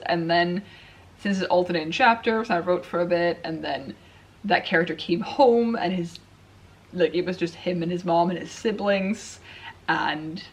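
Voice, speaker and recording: Low-voiced woman chatting on a vlog. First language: Swedish. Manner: chatty, self-deprecating, rambling with restarts. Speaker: writing vlogger. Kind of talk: casual chat to camera.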